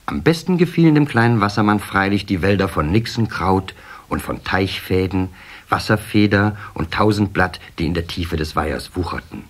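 A man tells a children's story as narrator. His voice is fairly low and husky, with expressive rises and falls in the phrasing. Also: normal speed.

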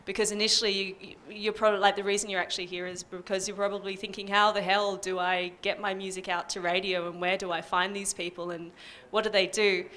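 A woman's voice: medium pitch, little pitch movement, a little fast, a bit bright. A young Australian woman explaining things informally as a panel speaker, on a handheld microphone. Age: young adult. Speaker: panel speaker from a community radio music service. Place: indoor music venue, small stage panel.

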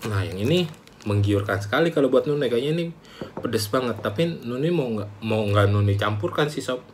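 Young man, mid pitch, animated food reviewer to camera. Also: a little fast, background noise. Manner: chatty, animated, calls himself by name. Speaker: food vlogger. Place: small room indoors, plain wall.

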